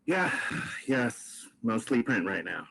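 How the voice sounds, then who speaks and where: A man's voice: on the low side, sing-song, slow pace, vocal fry, a bit bright, a little hiss or hum, full character voice, rough recording. A man on a podcast table read voicing a confident young woman, a model and protective best friend, with a bossy manner. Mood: casual. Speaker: podcast host reading a script.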